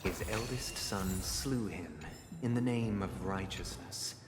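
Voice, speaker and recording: An actor playing a solemn, formal storyteller who narrates a grand allegorical history in a video game. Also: male voice, sits low, slow pace. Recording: echoey hall reverb under loud music.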